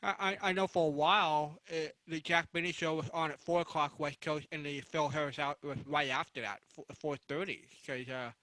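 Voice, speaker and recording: Bright-voiced man moderating a panel. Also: fairly high pitch, fairly even intonation, normal speed, few pauses, no room sound, low-quality recording. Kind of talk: hosting a panel interview about old-time radio.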